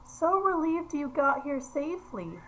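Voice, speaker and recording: A woman's voice: sits high, very animated, measured pace, pitched above the performer's own voice, rough recording. A woman voicing a protective, evasive mother from a children's picture book in a reassuring, parental manner. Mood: anxious, soothing.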